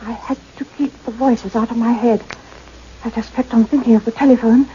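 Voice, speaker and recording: An actress as a high-voiced, frightened, dazed woman. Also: steady tone, warm tone, close to an everyday voice. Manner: halting, dreamy, faltering. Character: frightened, dazed woman recalling an eerie encounter. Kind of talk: radio play acting. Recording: muffled, noisy radio play recording.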